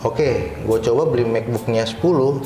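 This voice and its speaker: A man's voice: medium pitch, normal speed, dark tone. Casual Jakarta Indonesian man, echoey room. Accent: Indonesian, Jakarta colloquial. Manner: informal, slangy storytelling. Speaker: interview guest, cyber security supervisor. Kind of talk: casual chat, telling anecdotes. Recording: roomy echo with faint music underneath.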